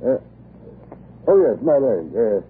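An actor in a 1930s radio drama playing a flustered, blustering upper-class British husband who stammers and hems and haws. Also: male voice, medium pitch, sing-song, a little slow. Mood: flustered, awkward. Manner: stammering, hemming and hawing. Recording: noisy, tinny 1930s radio broadcast.

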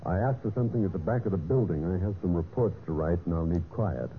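An actor playing a cold, scheming husband in a 1950s radio mystery, with a smooth, calculating manner. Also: male voice, deep voice, fast talker. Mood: businesslike. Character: scheming, cold husband narrating his own murderous plot. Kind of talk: 1950s radio mystery acting and first-person narration. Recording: muffled old radio broadcast with music.

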